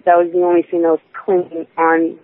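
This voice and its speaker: A woman in her twenties calling in to a talk show. She tells her story haltingly, restarting and correcting herself often. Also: lowish voice, monotone, a little hiss or hum. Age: 20s. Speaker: caller. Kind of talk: personal account on a call-in show. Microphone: phone. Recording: tinny phone line.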